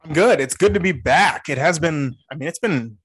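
A man's voice: a little high, animated, normal speed, husky. A man co-hosting a sports podcast, talking loosely and correcting himself as he goes. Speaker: podcast co-host. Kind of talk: casual sports podcast chat.

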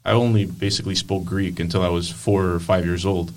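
A man's voice: sits low, steady tone, a little fast, distant mic. A man speaking as a student guest on a podcast, earnest and firm in his opinions. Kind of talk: conversational interview.

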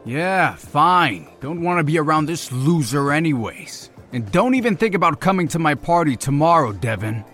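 An actor playing a cocky, abrasive young man in an audio drama, dismissive, sarcastic and quick to sulk. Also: a little high, expressive, fairly bright tone, soft background music. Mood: sulky, irritated.

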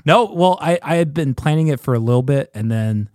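A man's voice: a little high, animated, brisk, darkish tone, dry recording, studio quality. A man chatting casually on a podcast in a candid, self-mocking way with plenty of swearing.

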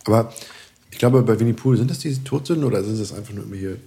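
Low-voiced man in casual chat. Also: quick pace, dark tone. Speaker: podcast co-presenter.

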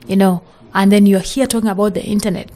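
Earnest Kenyan woman with a mellow voice. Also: mid-range voice, fairly even intonation, fast. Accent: Kenyan English. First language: Swahili. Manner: earnest, emphatic, frequent "you know" and restarts. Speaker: guest. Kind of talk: podcast interview.

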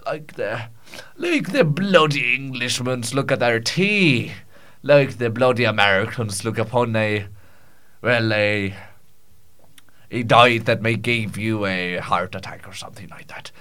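A man's voice: medium pitch, unhurried, a bit bright, natural voice. A man improvising a comic monologue as a pompous, rambling Scottish storyteller, with archaic phrasing, stumbles and repeats. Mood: grandiose, rambling.

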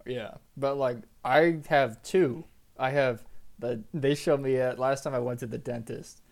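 A man's voice: mid-range voice, fairly even intonation, measured pace, creaky, a little mellow. A young man co-hosting a podcast in casual chat, with a laid-back, teasing way of talking. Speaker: podcast co-host.

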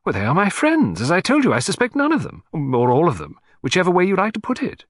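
A man puts on an excitable, fussy gentleman collector for an audiobook. He speaks in a fluttery, emphatic way, pitched up and swinging widely. The sound is dry and close. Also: fast talker, no echo, full character voice. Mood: flustered.

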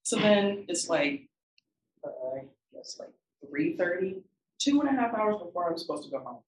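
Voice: mid-range voice, big pitch swings, moderate pace, recorded from a distance. Young panelist, wry and exasperated anecdote, sounds off-mic in a roomy space. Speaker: student panelist. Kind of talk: casual storytelling.

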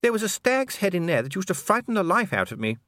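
A well-bred storybook noblewoman acted by a man, pitched high and very expressive. She is kindly and polite, given to genteel exclamations and gentle scolding. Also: quick pace, dry recording, clean studio sound. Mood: gently reproving, uneasy.

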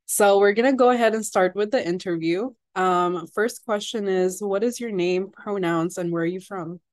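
A woman interviewer asking questions in a polite, measured way. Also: medium pitch, a little mellow, dry recording.

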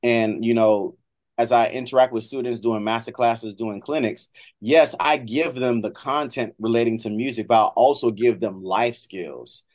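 A middle-aged American man speaks as a guest in a conversational interview. His pitch sits in the middle for a man, and he moves haltingly, repeating and rephrasing his words as he explains things. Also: darkish tone. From Miami, United States. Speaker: guest, trombone professor.

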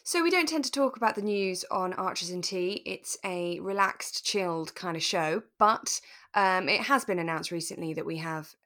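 A British woman co-hosting a relaxed podcast in casual chat, with a giggly, chatty manner. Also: lowish voice, normal speed. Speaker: podcast host.

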